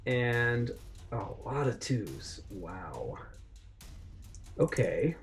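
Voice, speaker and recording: A man playing a tabletop game, chatting casually and narrating his character's actions in an animated, self-interrupting way. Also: mid-range voice, extremely slow, faint music behind.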